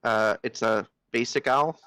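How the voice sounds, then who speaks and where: A man with a bright, medium-pitched voice speaks as a tabletop game player. He chats casually through what his character does. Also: a little slow.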